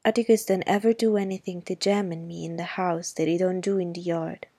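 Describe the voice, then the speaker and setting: A woman's voice: on the low side, fairly even intonation, a little fast, warm tone, slightly rough, no echo. A woman reading the part of a feisty, stubborn young girl, speaking bluntly and defiantly.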